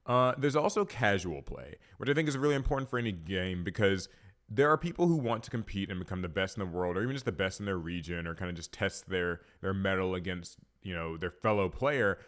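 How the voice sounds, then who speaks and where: Deep-voiced man, stuffy from a cold. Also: expressive, brisk, a bit bright. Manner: rambling, many fillers and restarts. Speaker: card game podcast host. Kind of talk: casual solo talk to camera.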